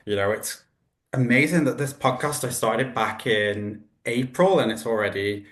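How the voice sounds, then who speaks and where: Male podcast host, mid pitch, upbeat and encouraging, roomy echo on the mic. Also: normal speed, fairly bright tone. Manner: upbeat, enthusiastic, uses "delve" and "yeah". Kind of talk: casual interview hosting. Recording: echoey room.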